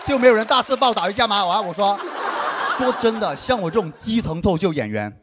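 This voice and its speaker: A man's voice: sits high, lively intonation, fast, noisy background. A young man doing a self-mocking stand-up set on a big studio stage, with a handheld mic and chatty asides to the crowd. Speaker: stand-up comedian. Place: large studio stage before an audience. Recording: live stage mic with audience laughter.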